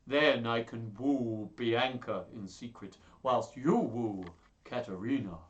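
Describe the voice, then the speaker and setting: A man's voice: medium pitch, takes it slowly, muffled recording, lightly acted. Man playing an emphatic, earnest rival suitor. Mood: pleading. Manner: emphatic, would-be common. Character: earnest, pleading male friend, a rival suitor.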